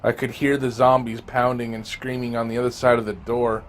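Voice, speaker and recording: A man reading a scripted first-person horror story as an audio drama narrator, grave and dramatic with deliberate phrasing. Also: mid-range voice, little pitch movement, slight background noise. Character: male survivor recounting a zombie fungus apocalypse. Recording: amateur narration over music. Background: music.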